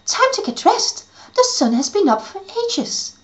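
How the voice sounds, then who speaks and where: A woman's voice: very high voice, big pitch swings, unhurried, fairly bright tone, voice pushed up, full character voice. A woman voicing a small, bossy and impatient female fairy.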